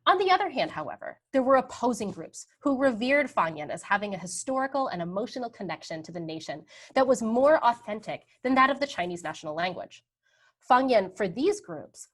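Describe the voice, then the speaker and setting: Woman prof talking through her slides, chatty lecture, lots of um and right. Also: medium pitch. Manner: conversational, frequent "um" and "right?" tags. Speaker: academic lecturer.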